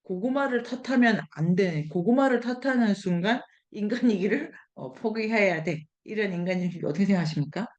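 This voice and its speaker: Female discussion leader, medium pitch, explanatory and questioning, working through ideas aloud in a seminar setting. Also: lively intonation, brisk, hardly any pauses, darkish tone, muffled recording.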